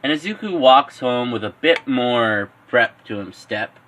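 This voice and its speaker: A male storyteller improvising fan fiction. He takes it slowly and haltingly, rephrasing as he goes, with a bright, forceful voice at an average pitch. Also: animated.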